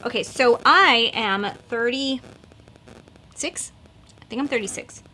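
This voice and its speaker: A woman's voice: on the high side, takes it slowly, pushed and bright, slight background noise. A woman in her thirties chatting casually while doing her makeup, rambling with lots of likes and fillers. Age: 30s. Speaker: beauty vlogger.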